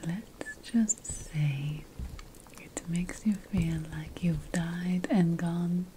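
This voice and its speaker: A woman voicing a sly, conspiratorial potion-making witch in an ASMR fantasy roleplay, whispering slowly and deliberately with dramatic pauses. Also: lowish voice, warm tone, a little hiss or hum. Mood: sly, secretive.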